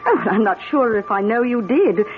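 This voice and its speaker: An actress playing a chatty British gentlewoman in a radio drama, breezy and frank with dry wit and a British accent. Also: fairly high pitch, lively intonation, moderate pace, noisy background, lightly acted. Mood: gossipy, dry. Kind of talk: old-time radio drama acting. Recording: tinny old radio recording, faint music.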